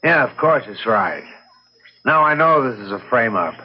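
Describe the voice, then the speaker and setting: A man's voice: medium pitch, very animated, moderate pace, breathy edge. An actor playing a skeptical, dry older father and war veteran in an old-time radio drama, on a muffled recording. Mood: skeptical, grumbling. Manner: dry, dismissive.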